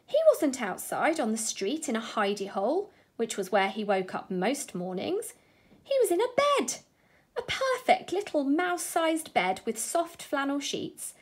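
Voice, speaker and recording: Female children's storyteller: expressive, higher-pitched, animated intonation. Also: breathy edge. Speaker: teacher reading a bedtime story.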